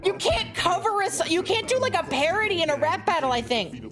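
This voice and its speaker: A high-pitched woman streaming, her voice lively and bouncing as she reacts in disbelief. Background music runs under her commentary. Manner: chatty, incredulous, quick to laugh.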